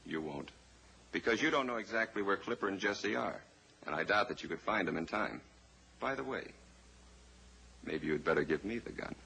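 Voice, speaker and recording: A scheming male villain from an old radio serial. His voice is deep and forceful, with polite, deliberate menace. Faint noise sits on the old recording. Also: animated, gravelly, full character voice, poor audio. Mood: threatening, in control. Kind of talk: old-time radio drama acting.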